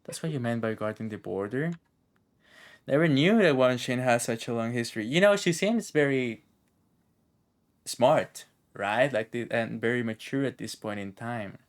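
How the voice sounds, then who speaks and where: A man's voice: mid-range voice, normal speed. A man chatting and rambling as he thinks aloud, commentating casually on a video game he is playing. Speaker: game streamer. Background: video game music and dialogue.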